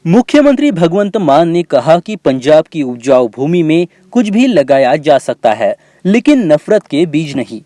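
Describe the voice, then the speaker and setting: Male news reporter, fairly high voice, very animated intonation, scripted narration. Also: a little slow, hardly any pauses, dry recording.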